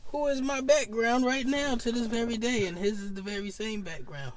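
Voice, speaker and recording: Young Newark artist, high-pitched, blunt and slangy, casual interview guest. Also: male voice, moderate pace, slight background noise. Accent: New Jersey. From Newark, New Jersey. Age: young adult. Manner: blunt, swears freely, animated slang.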